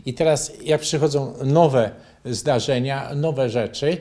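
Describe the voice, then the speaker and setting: An older man from Poland speaks as an interview guest. His pitch sits in an ordinary middle range for a man. He explains his points in a measured way, like a teacher setting out definitions. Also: dark tone. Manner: measured, didactic. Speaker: interview guest, bioenergy therapy teacher and author. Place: furnished living room, indoors.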